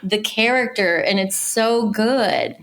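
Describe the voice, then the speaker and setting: A middle-aged woman speaks as a guest in a casual podcast interview. Her pace is slow and easy to follow, and her pitch is medium. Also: expressive, a bit bright, slight background noise. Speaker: podcast guest, casting director.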